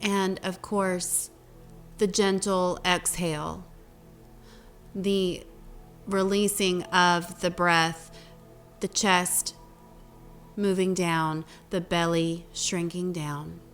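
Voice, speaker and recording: A woman leading a guided meditation as a teacher, speaking gently in short phrases with long pauses between them. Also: on the low side, level tone. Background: meditation music.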